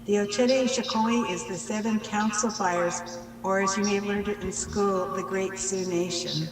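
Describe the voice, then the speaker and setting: An older woman from Pine Ridge Reservation, leading a measured, reverent prayer and introducing herself at a workshop. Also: mid-range voice, fairly even intonation, reverberant, in a large hall, distant mic, over music, rough recording. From Pine Ridge Reservation, United States. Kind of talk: slow prayer and self-introduction.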